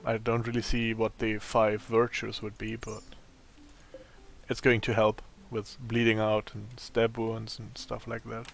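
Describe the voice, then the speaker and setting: A man with a raspy, tired voice giving casual gameplay commentary, thinking aloud as he plays. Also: fairly low pitch, monotone, a little hiss or hum. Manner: slow, thinking aloud while playing. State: voice strained from a long day of talking.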